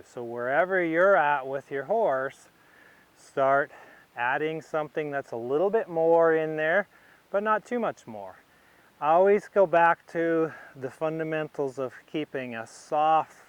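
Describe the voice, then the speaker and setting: A man giving instructional commentary as a riding instructor, thinking aloud in short, unhurried phrases as he demonstrates. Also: on the high side, clean tone.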